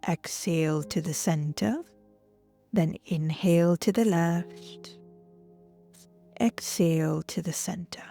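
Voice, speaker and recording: A woman leading guided relaxation and breathwork as a yoga instructor, gentle and soothing, often trailing off into a breathy near-whisper. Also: fairly low pitch, slow pace, pauses often. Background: soft music.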